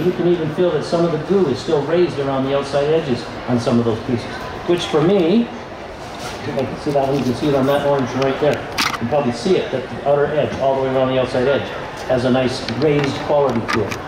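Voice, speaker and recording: An older man giving a relaxed live glass-craft demonstration, pausing with fillers as he handles pieces. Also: medium pitch, noisy background. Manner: relaxed explaining, many fillers, handling pieces while talking. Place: large convention hall room. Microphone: far camera. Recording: echoey hall, voice from far off.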